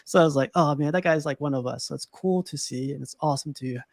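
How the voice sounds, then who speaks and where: A man with a slightly high, gravelly voice hosts a relaxed stream chat. He is enthusiastic, laughs often and keeps calling his guest "man." The sound is dry and close. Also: fast talker, dry recording. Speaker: stream host. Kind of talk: casual chat.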